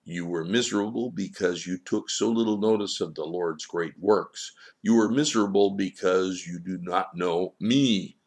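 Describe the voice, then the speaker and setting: A wise storybook child, read by a man, stern and all-knowing. The voice stays low and solemn, laying out each admonishment in firm, repeated declarations. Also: moderate pace, hardly any pauses, darkish tone. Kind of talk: storybook character dialogue.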